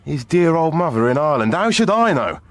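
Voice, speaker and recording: A man's voice: a little high, sing-song, fairly quick. An actor playing a blunt, sarcastic, grumbling policeman on surveillance in a radio crime drama. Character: cynical, grumbling male surveillance policeman, teasing but kindly.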